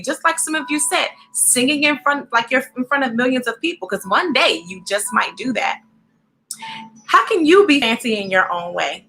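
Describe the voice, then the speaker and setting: An animated, encouraging woman hosting a storybook read-aloud, speaking directly to children in an expressive way. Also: a little high, rough recording.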